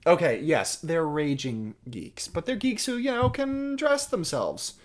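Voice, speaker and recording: A man's voice: a little high, big pitch swings, measured pace, voice pushed up. A man playing a nervous, geeky young mathematician who rambles self-consciously and hesitantly in a contemporary stage monologue. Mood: defensive.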